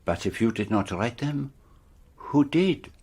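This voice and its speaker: An actor playing a proud, shrewd detective with a Belgian French accent in a radio play, speaking formally and precisely. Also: male voice, medium pitch, animated, a little slow, darkish tone, slightly rough. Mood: imperious.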